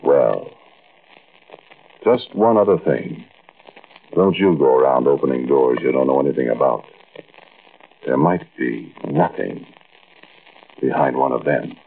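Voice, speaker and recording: An actor playing a frightened, haunted man telling his own eerie story, intense and halting, in 1940s radio style. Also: a bass voice, animated, gravelly, breathy edge, heavily acted. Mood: ominous warning. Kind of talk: 1940s radio acting, first-person suspense narration. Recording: muffled, noisy old radio recording.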